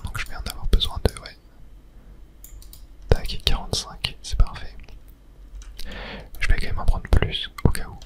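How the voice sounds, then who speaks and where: A person whispering casual, hesitant ASMR commentary while playing a video game, in a soft unvoiced whisper. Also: extremely high pitch. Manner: casual, hesitant thinking aloud. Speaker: video game streamer narrating own play.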